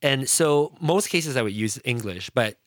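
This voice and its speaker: A man from Malaysia whose first language is Hokkien, talking casually as a podcast guest, with frequent restarts and pitch swings. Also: no echo. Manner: halting, many restarts, lively pitch swings. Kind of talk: casual conversational interview.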